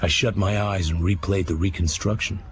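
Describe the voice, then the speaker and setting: An actor playing a haunted, obsessive middle-aged crime writer, narrating his dark memoir in terse confession with a gravelly, husky American voice. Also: low voice, fairly even intonation, normal speed, a little hiss or hum, natural voice. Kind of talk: scripted first-person crime memoir narration.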